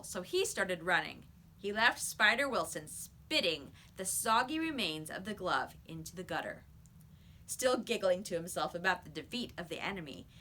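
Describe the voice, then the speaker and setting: Animated, bright-voiced woman reading a story. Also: mid-range voice. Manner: animated, emphatic, dramatic storytelling. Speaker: teacher reading aloud. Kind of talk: expressive children's story read-aloud.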